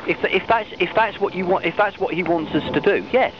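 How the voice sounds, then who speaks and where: A male customer support agent talks on a helpline in a slightly high voice. He stammers, repeats himself and earnestly reassures. The phone recording is muffled, with clear noise over it. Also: fairly quick. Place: open-plan office, on the phone. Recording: muffled, noisy low-quality phone recording.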